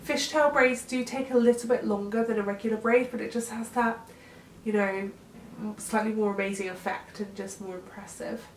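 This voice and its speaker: Young woman, nasal from a cold, chatty vlogger; bright-ish voice, distant roomy sound with faint background hum. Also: fairly high pitch. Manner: chatty, upbeat, often trails off while styling hair. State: congested from a cold. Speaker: beauty vlogger demonstrating a hairstyle. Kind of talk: casual how-to tutorial. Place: bedroom, indoors.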